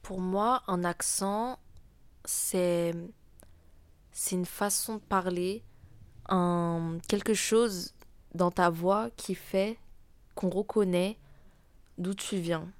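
A teenage girl from France with a French accent, answering interview questions casually and pausing often. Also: lowish voice, fairly even intonation, no room sound. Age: teens. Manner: hesitant, many pauses with "euh".

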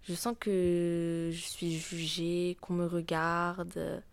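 A teenage girl with a French accent answers questions in a low voice, pausing often with small hesitations. The recording is dry and close. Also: level tone, clean tone, no room sound. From France. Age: teens. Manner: hesitant, many pauses with "euh". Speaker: interviewee. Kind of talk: casual interview answers.

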